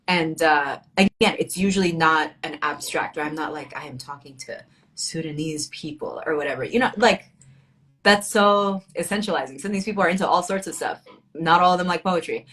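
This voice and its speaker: A woman with a low-pitched voice speaks as a guest poet in a casual literary conversation. She is bilingual in Arabic and English, and talks in a reflective, informal way with dry wit. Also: fairly bright tone. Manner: reflective, informal, wryly humorous.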